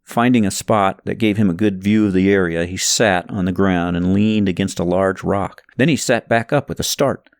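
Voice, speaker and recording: A man narrating a story from a script in an expressive, suspenseful, dramatic way. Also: low voice, normal speed, few pauses, dry recording, clean studio sound. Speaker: audiobook narrator reading own novel.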